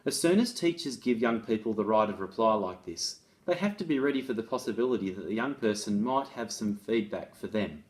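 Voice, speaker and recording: A man's voice: fairly low pitch, lively intonation, fairly quick, few pauses. A man presenting as an education author, reading a case study aloud and explaining it in a conversational, instructive way. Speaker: author and presenter on restorative practices in schools.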